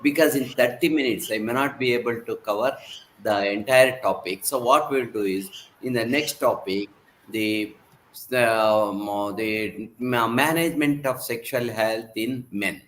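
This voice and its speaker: A man giving an online medical lecture, hoarse from laryngitis, halting with many fillers and restarts. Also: mid-range voice, a bit bright, poor audio. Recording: faint background noise.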